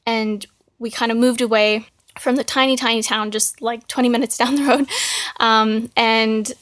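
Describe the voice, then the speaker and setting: A woman's voice: a little high, fairly even intonation, moderate pace, fairly bright tone. A young Canadian woman from Manitoba co-hosting a faith podcast, talking in an easygoing way with lots of ums and likes. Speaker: podcast co-host. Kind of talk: casual faith podcast chat.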